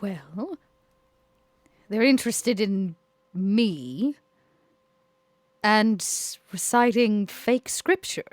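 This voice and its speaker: A player speaking slowly and deliberately, in character during a tabletop game. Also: medium pitch, animated, dry recording. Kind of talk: in-character play.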